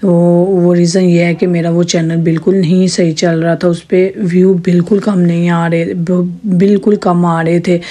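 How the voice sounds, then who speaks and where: A woman with a sore, hoarse throat chatting in a rambling home vlog with her viewers. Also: lowish voice, level tone, moderate pace, hardly any pauses, mellow. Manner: chatty, rambling with many restarts. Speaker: vlogger. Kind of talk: casual home vlog narration.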